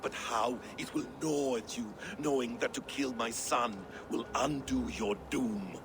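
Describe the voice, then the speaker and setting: Man acting a creaky, bitter trickster god. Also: mid-range voice, unhurried, natural voice. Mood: threatening, bitter. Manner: theatrical, menacing delivery. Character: bitter, cunning male trickster god, threatening and defiant. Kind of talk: video game cutscene acting. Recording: noisy game stream capture.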